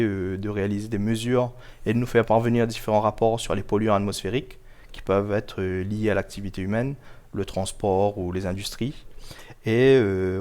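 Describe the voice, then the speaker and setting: A man giving explanatory answers as an interview guest, measured and formal, pausing often to choose his words carefully. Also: on the low side, a little mellow, clear, resonant tone. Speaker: guest, local government project officer.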